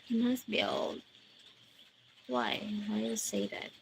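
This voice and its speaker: A woman in her forties from the Philippines, hosting a casual livestream and slowly greeting viewers by name as she answers their comments. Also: fairly high pitch, level tone, vocal fry, background noise. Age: 40s. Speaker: livestream host. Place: countryside outdoors at night.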